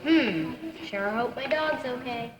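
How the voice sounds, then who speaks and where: A woman's voice: a little high, lively intonation, slow pace, highs cut off, crowd noise. A woman voicing a friendly, curious pet owner in a live puppet show. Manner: friendly, inquisitive. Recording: echoey hall, faint noise.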